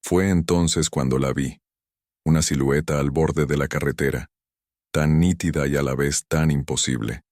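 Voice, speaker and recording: A man reads spooky first-person tales in an extremely deep voice. He keeps a steady, measured pace throughout. The sound is dry and close, with no room around it. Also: no echo. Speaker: narrator. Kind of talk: scripted first-person horror narration.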